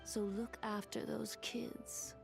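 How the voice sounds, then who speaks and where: An actress playing a composed, protective member of a secretive order in a video game, deliberate and serious. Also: female voice, on the high side, level tone, vocal fry, music in the background. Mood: serious, cautioning. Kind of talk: English video game voice acting.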